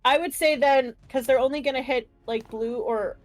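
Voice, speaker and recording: Higher-voiced, excitable woman playing a tabletop game. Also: moderate pace, rough recording. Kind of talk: casual game chat.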